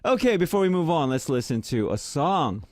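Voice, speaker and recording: A young man from Korea, speaking English as a second language with a deep voice, hosting a casual radio show and chatting about listener messages. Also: moderate pace, no room sound, studio quality. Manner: relaxed, chatty, reads listener messages aloud.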